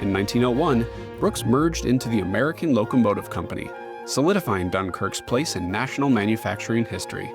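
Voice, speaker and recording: Male narrator, measured scripted read, music bed. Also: medium pitch, expressive. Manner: measured, formal delivery.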